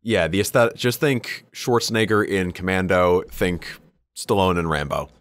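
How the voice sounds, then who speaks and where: Low-pitched man, casual podcast banter, wry, informal, dry studio-like sound. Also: moderate pace, fairly bright tone, no room sound. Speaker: podcast co-host. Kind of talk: casual hobby chat, banter.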